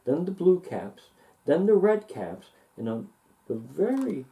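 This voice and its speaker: A man reading a children's story aloud expressively, with deliberate, sing-song emphasis. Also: a little high, sing-song, gravelly, dark tone, low-quality recording. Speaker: storybook reader.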